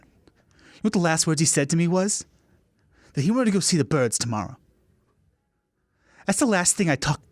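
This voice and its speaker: A man's voice: a little high, no echo, studio quality, voice pushed up. A man playing a grieving, vengeful father in a tabletop game, heated, confrontational and foul-mouthed. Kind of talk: improvised tabletop roleplay.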